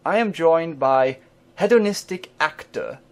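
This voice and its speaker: A man's voice: on the high side, expressive, unhurried. A man hosting a casual reading podcast, wry and chuckling. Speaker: host.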